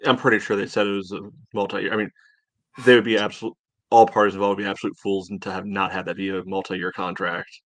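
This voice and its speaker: A man with a lowish voice co-hosts a sports podcast in a dry-sounding recording. He speaks casually and conversationally, offering measured opinions. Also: little pitch movement, fairly bright tone, no echo.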